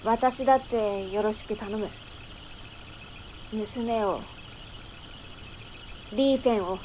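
A woman playing a tough, brash gang boss in a Japanese audio drama, with rough, cocky, masculine wording. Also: mid-range voice, slow pace, noisy background, natural voice. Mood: resolute, gruffly fond.

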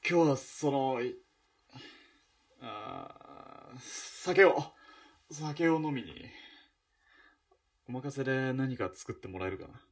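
A man playing an awkward, evasive former soldier in a radio drama, speaking in a hesitant, halting way. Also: medium pitch. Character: awkward, evasive male ex-soldier, reluctant bearer of news. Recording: distant from the mic.

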